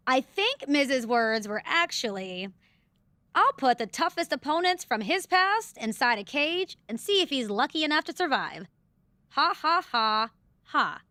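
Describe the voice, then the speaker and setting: Snarky high-voiced female wrestling host. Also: sing-song, a little slow, pushed and bright, dry recording, full character voice. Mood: mocking. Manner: sarcastic, haughty. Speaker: show co-host. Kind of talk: scripted video game cutscene acting.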